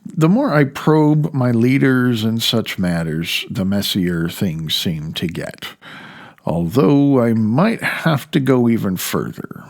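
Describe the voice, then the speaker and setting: Creaky-voiced man narrating dryly. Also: medium pitch. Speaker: author reading his own audiobook. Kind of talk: audiobook narration with rambling asides.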